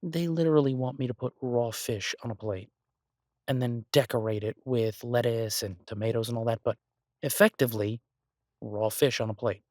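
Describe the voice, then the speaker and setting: An exasperated restaurant chef played by a man grumbles to his partner in a boyfriend audio roleplay. His mid-pitched voice is halting and conversational, recorded dry with no room sound. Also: a little mellow, no room sound, lightly acted. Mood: incredulous.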